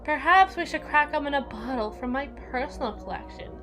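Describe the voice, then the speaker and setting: Woman acting a jealous evil queen for asmr roleplay, highish voice, slow n haughty, music playing behind. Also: lively intonation. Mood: silky, coaxing. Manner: haughty, theatrical, deliberate formal diction. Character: jealous, menacing evil queen, regal and possessive.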